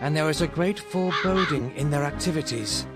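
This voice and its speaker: Man as a grave, higher-voiced elf noble. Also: brisk, over music, natural voice. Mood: grave, commanding. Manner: formal, commanding. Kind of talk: video game cutscene acting.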